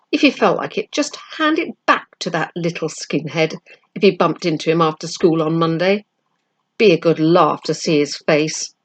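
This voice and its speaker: A woman with a slightly low voice reads a children's story aloud. She narrates expressively, as a storyteller who also acts out the dialogue.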